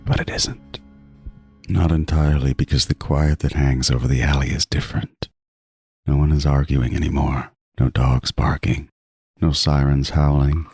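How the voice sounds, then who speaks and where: Super deep breathy serial killer narrating his hunt, intense and obsessed, music going behind him. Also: steady tone, a little mellow, no room sound, heavily acted. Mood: brooding, watchful. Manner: intense, menacing, deliberate first-person narration. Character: meticulous, obsessive male serial killer stalking prey. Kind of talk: dramatic horror podcast narration.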